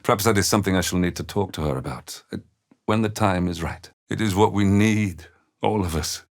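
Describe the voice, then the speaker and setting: An older man voicing a weary, gentle, devout old father in a video game, with halting, tender and solemn delivery. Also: deep voice. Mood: weary, reflective. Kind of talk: dramatic video game character dialogue.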